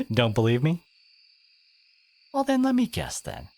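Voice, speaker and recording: A man playing a teenage school bully who is secretly wounded and caring, speaking in a halting, confiding, sardonic way in an intimate audio roleplay. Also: fairly high pitch, wildly swooping pitch, creaky, exaggerated voice. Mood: knowing, sardonic.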